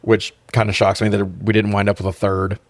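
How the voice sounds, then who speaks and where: A man's voice: fairly low pitch, little pitch movement, a little fast, dry recording. A man co-hosting a casual comedic film review, joking and swearing freely, often laughing as he talks. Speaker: podcast co-host.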